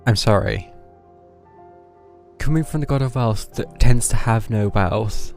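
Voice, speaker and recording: A man giving casual live stream commentary on a video game, with halting, wry reactions. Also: on the low side, creaky, darkish tone. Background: game music.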